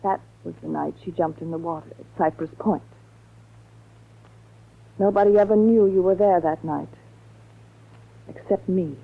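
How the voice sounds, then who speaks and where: A woman's voice: fairly low pitch, moderate pace, gravelly, pauses often, husky. An actress playing a cool, hard young woman, a mocking blackmailer with a taunting, self-assured manner in 1940s radio style. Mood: cool, menacing. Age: young adult. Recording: muffled vintage radio broadcast.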